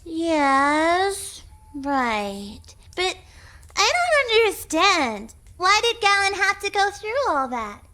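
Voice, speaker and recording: A performer playing an eager, curious young child in a video game, expressive and earnest. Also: very high voice, slow pace, full character voice. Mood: curious, worried. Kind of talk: video game character acting.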